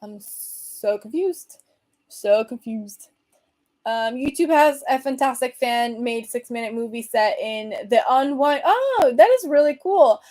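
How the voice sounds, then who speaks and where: A woman's voice: a little high, expressive, a little slow, a little mellow. A young woman from Venezuela with a Venezuelan accent, casually chatting on a livestream and reading viewer comments aloud with lots of fillers. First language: Spanish. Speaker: host.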